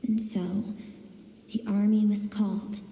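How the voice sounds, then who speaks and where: An actress voicing the gentle, suppressed inner self of a swordswoman in a video game, speaking softly and sorrowfully. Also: mid-range voice, level tone, a little slow, gravelly, slight background noise. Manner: soft, sorrowful, pleading. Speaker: game character. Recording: roomy reverb under game music.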